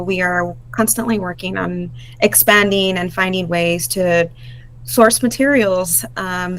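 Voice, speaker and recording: A woman from Brownsville, Texas, speaking on a video call as a worker-owner of a community film center, relaxed and full of fillers. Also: mid-range voice, rough recording. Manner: relaxed, many fillers like um and you know. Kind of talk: casual info-session presentation.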